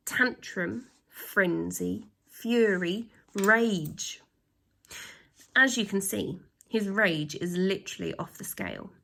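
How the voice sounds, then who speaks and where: A woman reading a children's story aloud with dramatic emphasis, pausing to put questions to her young listeners. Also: medium pitch, creaky, a bit bright. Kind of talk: expressive storytime narration with asides to children.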